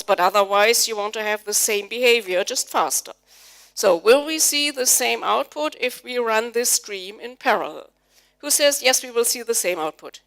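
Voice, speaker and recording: A woman's voice: fairly high pitch, a bit bright. A middle-aged woman whose first language is German, giving a live technical talk to a conference audience and polling the listeners with questions. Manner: explanatory, polls the audience with questions. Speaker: conference speaker, Java trainer. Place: conference hall before an audience.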